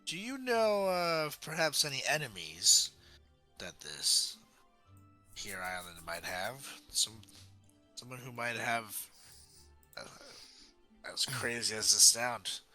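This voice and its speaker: Man playing a bright, folksy, courtly adventurer. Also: medium pitch, sing-song, slow pace, pauses often, faint music behind, exaggerated voice. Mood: polite, inquisitive. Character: folksy, polite, bossy fantasy adventurer.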